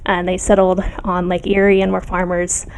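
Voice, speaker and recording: A young woman from Los Angeles, an audio editor guest-hosting a podcast, talking casually and softly with small laughs. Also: fairly low pitch, monotone, moderate pace, clear, resonant tone. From Los Angeles, United States.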